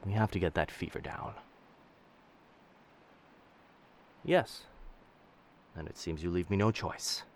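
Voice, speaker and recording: Deep-voiced, gently commanding pirate captain, played by a man. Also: moderate pace, slight background noise. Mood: firm, urgent. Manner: old-fashioned seafaring speech, addresses listener as lass. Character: dangerous but gentle male pirate captain, protective and commanding. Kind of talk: roleplay audio drama, intimate boyfriend ASMR.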